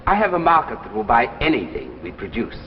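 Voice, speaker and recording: Suave, animated aging author, old radio. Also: male voice, mid-range voice, fairly quick. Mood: persuasive, insistent. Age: older. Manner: theatrical, insistent, gracious. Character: aging successful male author, suave, courteous, persuasive. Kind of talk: 1950s radio drama acting. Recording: muffled, hissy old radio recording, reverberant.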